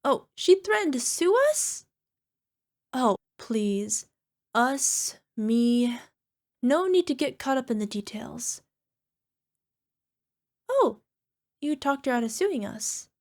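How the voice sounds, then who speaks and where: A woman's voice: sits high, very animated, slow pace, pauses often, a little mellow, full character voice. A woman playing a small, sheepish cyclops monster girlfriend in an ASMR roleplay, wheedling and making cutesy, defensive excuses. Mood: flustered, affectionate. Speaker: voice actor.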